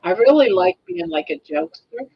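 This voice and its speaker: A woman's voice: high voice, lively intonation, normal speed, gravelly, slightly rough, dull audio. A woman in her sixties hosting a casual live stream, chatting in a rambling way and restarting her sentences. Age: 60s.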